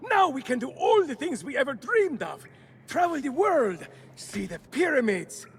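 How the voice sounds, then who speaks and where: An actor playing an excitable, adventure-hungry freed captive in a video game, melodramatic and easily exasperated. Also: male voice, extremely high pitch, normal speed, heavily acted. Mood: dreamy, reasoning. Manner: melodramatic, exclamatory. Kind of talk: video game character acting.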